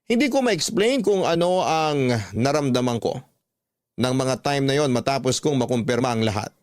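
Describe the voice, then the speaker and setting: A man's voice: medium pitch, animated, moderate pace, a little mellow, no room sound. Dry-sounding man narrating a story. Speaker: radio drama narrator. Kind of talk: scripted first-person story narration.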